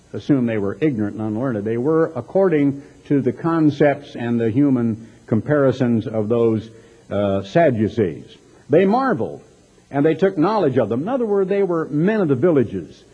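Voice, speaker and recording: A man's voice: mid-range voice, breathy edge. A man with a Texas accent preaching a sermon, reading scripture and commenting on it in an emphatic, dramatic way with rhetorical questions and mocking asides. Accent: American, Texas. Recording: dull lo-fi sermon recording.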